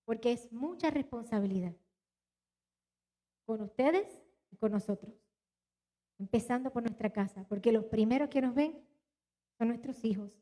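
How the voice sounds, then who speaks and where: Puerto rican lady pastor, mixed latin american accent, high voice, lively, preaching at church. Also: moderate pace, pauses often. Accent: mixed Latin American Spanish, little Puerto Rican. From Puerto Rico. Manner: animated, talks straight to the congregation. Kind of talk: live church sermon and announcements. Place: church hall.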